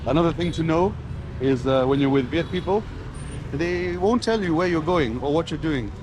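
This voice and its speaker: A man's voice: a little high, normal speed, background noise. A man from Kenya and Switzerland, a travel vlogger, chatting casually on camera while eating outdoors and at a restaurant, listing flavors as he goes. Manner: enthusiastic, eats while talking, lists flavors. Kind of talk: casual on-camera food tasting.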